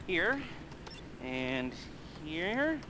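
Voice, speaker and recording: High-pitched, neurotic criminal mastermind. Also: male voice, sing-song, background noise, heavily acted. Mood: embarrassed, flustered. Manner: halting, rambling, sardonic, with nervous giggles. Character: nerdy, neurotic, excitable criminal heist mastermind with a cane. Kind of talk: video game cutscene and mission dialogue acting.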